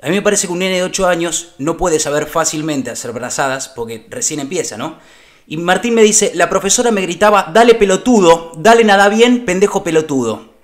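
Casting: young man as an earnest, wronged Argentine uncle, higher voice, halting and over-formal, for a prank phone call. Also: expressive. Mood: indignant.

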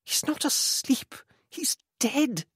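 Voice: medium pitch, wildly swooping pitch, brisk, husky, no room sound, clean studio sound, voice pushed up, full character voice. A reader voicing a frantic, imperious middle-aged English mother with an upper-class accent, hissing urgently. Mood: hushed, urgent.

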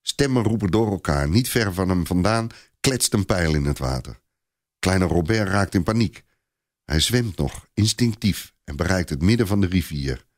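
A man with a low voice reads a story aloud as narrator. His delivery is expressive and deliberate, with lively rises and falls, recorded dry and close. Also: dry recording. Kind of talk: dramatised storytelling, scripted narration.

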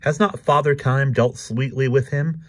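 A man's voice: mid-range voice, fairly even intonation, normal speed, no echo, pitched above the performer's own voice, natural voice. A man voicing an earnest, sentimental, talkative cartoon dog with a florid way of speaking. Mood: marvelling.

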